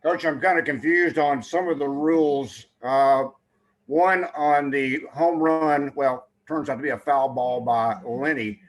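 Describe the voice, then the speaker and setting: A man's voice: on the high side, steady tone, unhurried. A man working as a reporter, asking direct questions at a postgame press conference.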